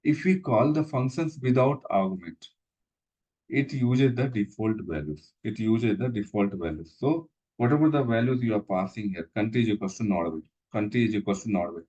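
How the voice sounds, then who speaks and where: A man teaching programming, pausing often and repeating phrases with many fillers. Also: fairly low pitch, expressive, dark tone, husky, dull audio. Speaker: instructor. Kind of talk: live coding lesson walkthrough.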